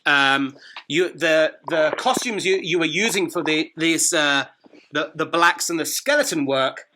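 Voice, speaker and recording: Male host, highish voice, bright forceful tone, halting curious questions. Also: a little hiss or hum, rough recording. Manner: curious questioner with hesitant restarts. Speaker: interviewer host. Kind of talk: conversational interview.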